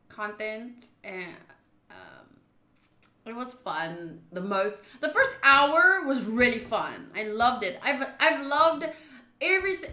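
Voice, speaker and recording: Bright, high-voiced woman streaming. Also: roomy sound. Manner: chatty, expressive, thinking aloud. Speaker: streamer playing a visual novel. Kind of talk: casual livestream commentary.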